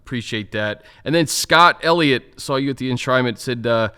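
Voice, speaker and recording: A man's voice: mid-range voice, moderate pace, bright, forceful tone, clean tone. Sports podcast host guy, mid voice, easygoing, lots of uhs. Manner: easygoing, filler-heavy.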